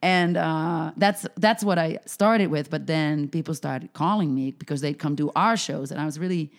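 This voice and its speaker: A woman with a slightly low voice tells stories in a relaxed chat, animated and quick to swear. She is from Finland and works as a comedian and health coach, and her talk is full of fillers. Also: gravelly. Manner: animated storyteller, many fillers, swears freely. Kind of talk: casual podcast chat.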